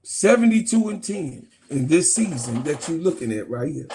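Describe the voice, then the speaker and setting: Middle-aged man, sports host, argumentative and profane, husky voice pitched high. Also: big pitch swings, moderate pace, dark tone. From Chicago, USA. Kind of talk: heated casual sports rant.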